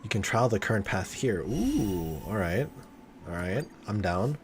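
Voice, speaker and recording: Low-voiced, excitable male game streamer. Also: unhurried. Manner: excitable, slangy, swears freely. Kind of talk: casual live-stream gameplay commentary. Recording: game music behind the voice. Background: video game music and sounds.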